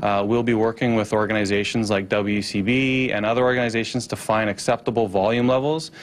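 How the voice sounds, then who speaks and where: A man's voice: lowish voice, fairly even intonation, a little fast. A man explaining things to the press as a police spokesman.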